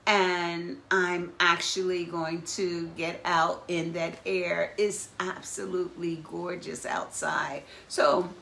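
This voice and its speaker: A woman therapist hosting a live stream, talking gently and reassuringly, slightly congested from asthma. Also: on the low side, little pitch movement, slow, vocal fry, few pauses, slight background noise. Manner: gentle, reassuring, guides breathing exercises. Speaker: therapist and host of a live talk. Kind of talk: slow, caring live-stream talk. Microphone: phone or webcam live feed.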